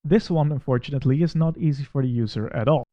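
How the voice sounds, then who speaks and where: A man from the Netherlands with a Dutch accent, walking through a software installer step by step and critiquing it in a plain, methodical way. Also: medium pitch, quick pace, dry recording, highs cut off. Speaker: tech reviewer. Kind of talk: screen-recorded software walkthrough.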